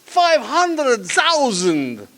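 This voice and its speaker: A sly little German villain from a radio detective spoof, high-pitched and swooping wildly. He taunts and threatens in a slow, theatrical German accent, turning his sentences back to front. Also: wildly swooping pitch, fairly bright tone, full character voice. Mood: boastful, sly. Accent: put-on German. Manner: inverted syntax, taunting, theatrical. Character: natty little German criminal henchman, sly, menacing, comically indignant. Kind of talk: comic old-time radio detective drama acting.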